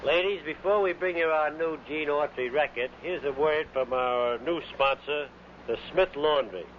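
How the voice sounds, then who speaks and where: A man's voice: on the high side, normal speed. A man working as a radio announcer, reading in a vintage broadcast style. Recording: muffled old radio recording, faint noise.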